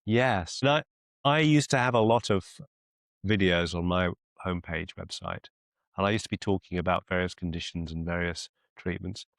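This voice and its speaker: Low-pitched, creaky male mentor. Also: a bit bright, no room sound. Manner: deliberate, encouraging, repeats phrases for emphasis. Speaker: business mentor and clinician. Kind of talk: one-to-one coaching call. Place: video call.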